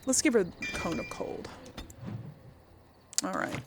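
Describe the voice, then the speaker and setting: A woman's voice: takes it slowly, noisy background. A woman giving casual, chatty video game commentary, thinking aloud as she plays.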